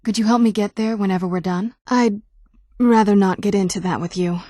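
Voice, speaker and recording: A woman's voice: mid-range voice, fairly even intonation, fairly quick, mellow, no echo, lightly acted. A woman playing a youthful-seeming ancient vampire noblewoman in a video game, wry and guarded, with a dry conversational delivery. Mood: measured, guarded. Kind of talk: video game character dialogue.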